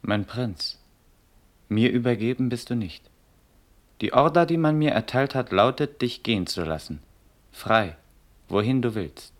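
An actor playing a dutiful Prussian guard officer in a classical verse radio drama, speaking formally and with measure. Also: male voice, medium pitch, animated, unhurried, pauses often, natural voice. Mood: formal, firm.

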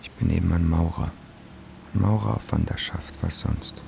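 Gravelly, brooding young poet. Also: male voice, deep voice, fairly even intonation, moderate pace, a little mellow, slight background noise. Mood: stubborn. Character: brooding young male poet and bricklayer, introspective and stubborn. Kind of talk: radio drama acting.

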